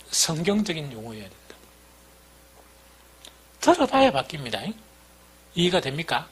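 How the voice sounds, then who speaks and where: A man's voice: a little high, breathy edge. A middle-aged man with a Busan-area Gyeongsang accent preaching as a pastor in an informal, conversational teaching style, asking rhetorical questions and repeating key points.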